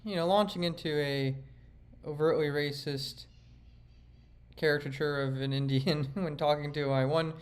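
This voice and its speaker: A man's voice: on the high side, fairly even intonation, normal speed. A man, a comedian, talks through his own stand-up set in a reflective, self-critical way, rambling with frequent restarts. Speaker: comedian and vlogger.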